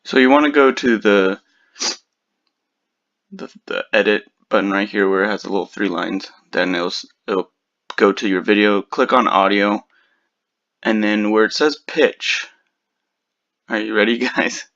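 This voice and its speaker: A man with a fairly low voice talks viewers through a video tutorial in an informal, chatty way. The recording is a little dull and lo-fi, like a simple home setup. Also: fairly even intonation, measured pace, long pauses, fairly bright tone. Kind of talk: casual screen tutorial.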